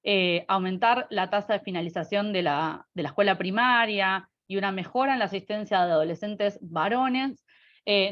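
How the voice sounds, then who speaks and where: A woman with an Argentine accent presents to a webinar. Her voice sits at an ordinary middle pitch. She moves haltingly, pausing and doubling back on words, and the online call audio is slightly dull. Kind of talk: presentation with slides.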